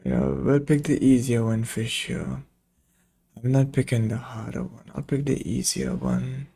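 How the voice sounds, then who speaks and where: A man's voice: medium pitch, steady tone, unhurried, vocal fry, warm tone, slightly rough. A man giving casual gameplay commentary, muttering short remarks to himself as he plays. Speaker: game streamer.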